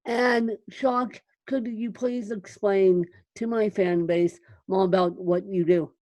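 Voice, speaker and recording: A woman hosting an interview podcast, speaking deliberately as she puts questions to a guest. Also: medium pitch, fairly even intonation, mellow, no echo. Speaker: podcast host.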